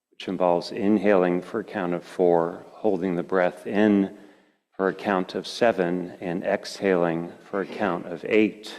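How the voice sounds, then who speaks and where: Deep-voiced man guiding meditation in a reverberant hall. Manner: slow, gentle phrasing with long pauses. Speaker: meditation teacher leading a guided practice. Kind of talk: guided meditation.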